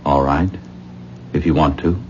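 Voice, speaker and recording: A man's voice: very deep voice, steady tone, measured pace. An actor playing a shaken, lovestruck man in a 1970s radio drama, American accent, hesitant and insistently repeating himself. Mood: tender, pleading. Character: shaken, earnest, lovestruck man pleading with his lover. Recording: muffled, noisy 1970s radio recording.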